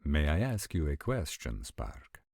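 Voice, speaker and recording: Male actor as protective ancient supernatural warrior for roleplay audio: extremely low voice, formal measured diction, dark tone. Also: wildly swooping pitch, no echo, clean studio sound, heavily acted. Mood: curious, puzzled. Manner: formal, measured, stilted diction with deliberate pauses. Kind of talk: scripted roleplay audio acting.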